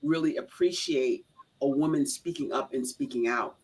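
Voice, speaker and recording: A woman's voice: extremely low pitch, normal speed, a little mellow, breathy edge, poor audio. A woman with an American accent hosting a talk show in a casual, affirming way, repeating phrases for emphasis. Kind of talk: casual conversational interview.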